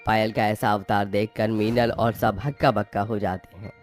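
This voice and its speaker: A man's voice: fairly low pitch, level tone, normal speed, soft background music. A man narrating scripted moral tales for a cartoon as the storyteller.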